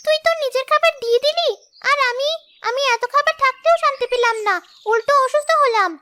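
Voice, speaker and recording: Woman as young cartoon girl, sincere and emotive, piercingly high and bright, over music. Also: fast. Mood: remorseful. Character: earnest young schoolgirl in a moral cartoon story. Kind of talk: scripted cartoon dialogue. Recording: music behind the voice.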